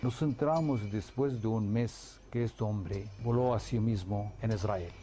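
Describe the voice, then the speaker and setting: Mellow-voiced man giving an earnest interview. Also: lowish voice. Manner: earnest, with halting restarts. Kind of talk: interview answer.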